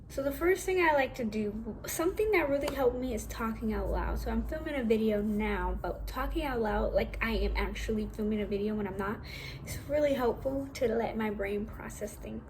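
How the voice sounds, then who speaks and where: A young woman with a naturally high voice, talking casually and rambling a little as she shares acting tips from home. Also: a little mellow, slight background noise. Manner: chatty, rambling, self-correcting, casual. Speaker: vlogger.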